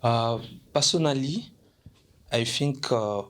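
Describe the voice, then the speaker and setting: A man's voice: medium pitch, slow, a bit bright. A young man from DR Congo, an international student representative, answering interview questions hesitantly with many fillers and restarts.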